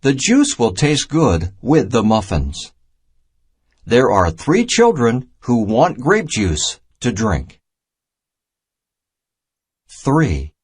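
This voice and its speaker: Slow-reading man with widely swinging pitch. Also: wildly swooping pitch, slightly rough. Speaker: narrator of a children's math reader. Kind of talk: scripted read-aloud of a children's storybook.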